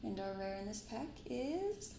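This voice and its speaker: A woman speaking softly in an ASMR style, giving gentle, unhurried casual commentary as host of a card-opening video. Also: on the high side, big pitch swings, creaky, roomy sound, background noise. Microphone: binaural microphone.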